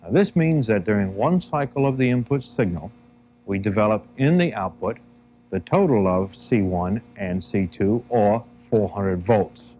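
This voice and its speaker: An American man narrating an instructional training film, explaining step by step in measured, deliberate phrases. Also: lowish voice, animated, dark tone, slight background noise. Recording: dull, band-limited 1970 film soundtrack.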